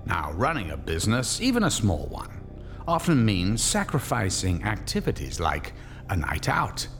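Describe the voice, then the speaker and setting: A man playing the theatrical, deliberate, ominous narrator of a scripted radio horror story. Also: fairly low pitch, huge pitch swings, fairly bright tone, faint music behind, exaggerated voice.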